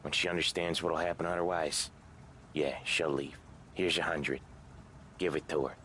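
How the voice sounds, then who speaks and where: An actor playing a gruff, earnest mob enforcer in a video game cutscene. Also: male voice, steady tone, normal speed, sharp tone, slight background noise. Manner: gruff, low-key and earnest.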